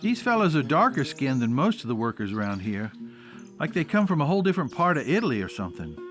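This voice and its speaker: A man's voice: mid-range voice, big pitch swings, fast talker, music in the background, close to an everyday voice. An American man acting in a radio drama as an eager, respectful telegraph office worker. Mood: eager, observant.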